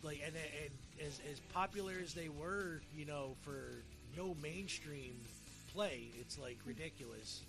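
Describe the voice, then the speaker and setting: A man's voice: fairly high pitch, unhurried, creaky, over music. A middle-aged man hosting a podcast in casual chat, rambling and often repeating his words. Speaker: podcast host.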